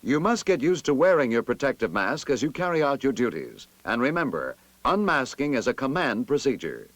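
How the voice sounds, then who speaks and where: An American man reads scripted narration for a vintage army training film in an authoritative, instructive manner with clear enunciation. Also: mid-range voice, very animated, fairly quick, fairly bright tone, no room sound.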